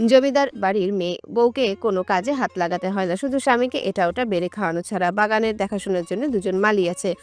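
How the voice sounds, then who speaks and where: A woman giving a scripted narration of a romantic story. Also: medium pitch.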